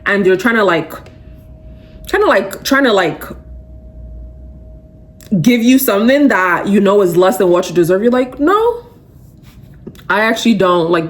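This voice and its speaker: Young adult woman, podcast host, casual motivational chat, emphatic, animated, conversational. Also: medium pitch.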